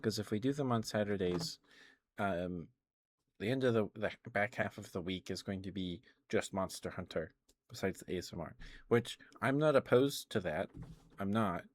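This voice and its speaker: A man with a fairly low voice talks as a streamer during a casual ASMR session. He rambles informally, thinking out loud with hesitant, halting phrasing. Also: a little hiss or hum.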